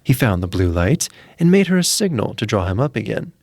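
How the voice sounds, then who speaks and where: Male narrator for fairy tales: lowish voice, animated intonation, scripted delivery. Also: fairly quick, heavy background noise.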